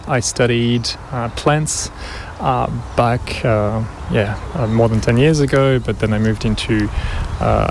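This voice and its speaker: A man from France, interviewed outside in a city park, talking casually with many fillers and restarts. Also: mid-range voice. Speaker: interviewee, event organiser. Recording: noisy outdoor field recording. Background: traffic and city ambience.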